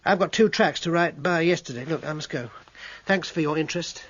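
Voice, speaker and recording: An actor playing a struggling, articulate British composer in a radio drama, wordy and hesitant, turning agitated under pressure. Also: male voice, on the high side, fairly quick, fairly bright tone, husky, no echo, dull audio. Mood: earnest. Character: struggling hack composer, defensive, articulate, evasive brother.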